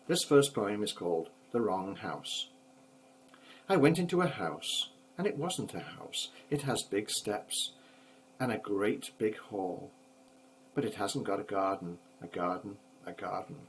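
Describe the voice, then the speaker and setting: An older man reads children's poems in a fairly low voice with a measured, sing-song rhythm. His delivery is expressive, like a grandfather with a storybook. The homemade recording carries some background noise. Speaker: grandfather reading poems aloud. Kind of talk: storybook poetry reading.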